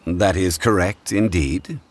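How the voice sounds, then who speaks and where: Very deep, composed gentleman. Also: slight background noise. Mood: calm, reasoning. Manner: measured, formal, polite. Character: calm, learned, courteous gentleman, composed and reasonable. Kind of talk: video game dub acting.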